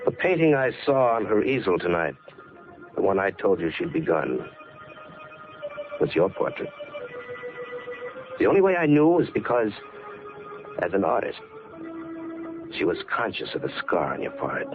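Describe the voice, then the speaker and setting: A muffled, noisy 1940s radio recording of an earnest, brooding mystery hero. His low voice moves widely up and down in a measured, dramatic delivery. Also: big pitch swings, over music. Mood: grave defiance. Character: earnest, brooding male survivor of a murder plot.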